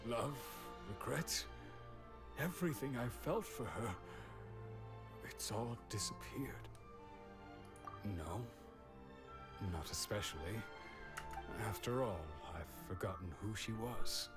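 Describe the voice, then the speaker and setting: An actor playing a reflective, wistful aging man in a video game, speaking in a measured way. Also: lowish voice, animated, music in the background. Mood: hollow, resigned. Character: wistful aging man who lost his memories of love.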